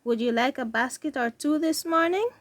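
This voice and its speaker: A woman voicing an earnest young boy who sells cherries in a read-aloud storybook, with simple expressive delivery. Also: high voice, moderate pace, no echo, natural voice. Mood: questioning, defensive.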